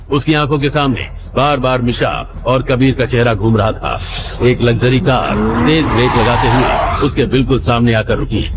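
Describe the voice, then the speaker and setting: A man's voice: medium pitch, background noise. A man narrating a dramatic audio story in a scripted storytelling style. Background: background music.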